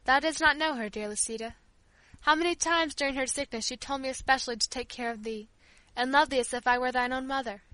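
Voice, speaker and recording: A woman's voice: sits high, fast, sharp tone, no echo, rough recording. A woman voicing a kindly, grieving old female servant who tells her tale tenderly in an audiobook. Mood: sorrowful, tender. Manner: tender, fond, rambling storyteller. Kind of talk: audiobook character dialogue.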